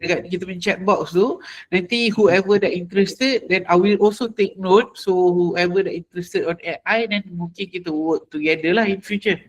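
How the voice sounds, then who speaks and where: A man's voice: high-pitched, a little fast, few pauses, rough recording. A man with a Malaysian accent hosting an online session, chatting casually with participants and mixing English with Malay. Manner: mixes English and Malay, often repeats phrases. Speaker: webinar host. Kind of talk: casual welcoming chat with participants. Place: online video call.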